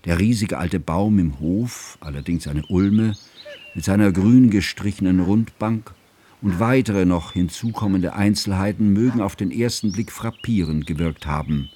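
An actor playing an earnest, scholarly friend narrating a composer's life in a formal, measured literary radio drama style. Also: male voice, low-pitched, mellow, slightly rough. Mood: pondering.

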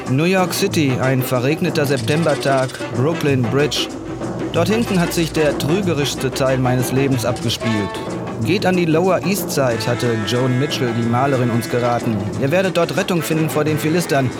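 A man's voice: medium pitch, normal speed, lightly acted. An actor playing a writer who recalls his life in literary, scripted narration, with music behind him. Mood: reflective. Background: loud music.

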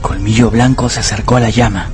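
A man narrating a dramatized radio novel as an expressive, deliberate storyteller. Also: lowish voice, music in the background.

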